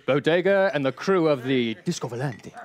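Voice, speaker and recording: A man's voice: on the high side, animated, moderate pace, close to an everyday voice. A man playing a wry narrator, reading scripted comic storybook narration for a space radio play. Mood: wry, narrating.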